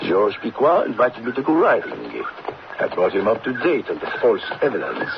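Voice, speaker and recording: An actor playing an indignant, crusading French writer in a radio drama, speaking in an emphatic, theatrical, oratorical manner. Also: male voice, on the low side, huge pitch swings, normal speed, vocal fry, heavy background noise, heavily acted. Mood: narrating. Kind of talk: radio drama acting, part dialogue and part narration. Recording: dull lo-fi old radio, music behind.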